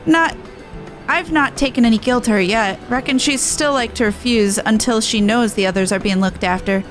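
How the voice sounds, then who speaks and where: A woman's voice: fairly high pitch, moderate pace, faint music behind, close to an everyday voice. A woman voicing a young cat-folk resistance soldier in a game, earnest, battle-worn and blunt. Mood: resolved, gentle. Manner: earnest, blunt, rough-and-ready phrasing. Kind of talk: game dialogue voice-over.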